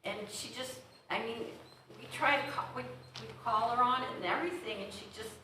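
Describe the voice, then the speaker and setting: A woman's voice: on the high side, brisk. An older woman in the audience asking a question in a chatty, wry way. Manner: chatty, wry about her mother. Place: church hall, audience seating. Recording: distant mic in echoey hall, faint room noise.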